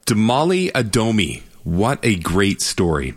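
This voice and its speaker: A man's voice: lowish voice, wildly swooping pitch, measured pace. A middle-aged Canadian man hosting a radio show, chatting with a guest and then giving an earnest, emphatic pep talk to listeners. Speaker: radio host. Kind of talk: radio interview and motivational monologue.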